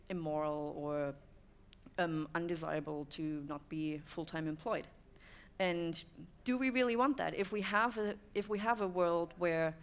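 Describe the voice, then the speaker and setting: Woman from Germany, thirties, low voice, smooth and clear, onstage talk, lots of ums. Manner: thoughtful, frequent "um" fillers. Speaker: speaker. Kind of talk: conference talk. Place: dim stone-walled room with audience.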